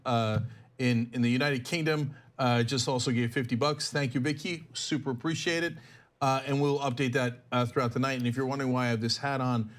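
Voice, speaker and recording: Brisk male show host. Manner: brisk, pitching promotions. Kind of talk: live show hosting.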